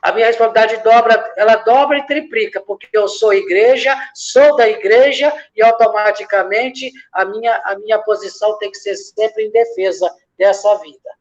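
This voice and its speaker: A man's voice: sits high, moderate pace, few pauses. A man from from that region, a lay missionary reflecting in a church discussion, building his points from parallel examples and often hesitating. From Minas Gerais, Brazil. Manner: speaks in repeated parallel examples, many hesitations. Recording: dull lo-fi sound.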